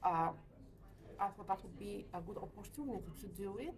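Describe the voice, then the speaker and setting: A woman's voice: medium pitch, expressive. Lithuanian-accented woman, hesitant. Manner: hesitant, frequent uh pauses, light laughs. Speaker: letting agency owner. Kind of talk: business interview. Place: hotel meeting room.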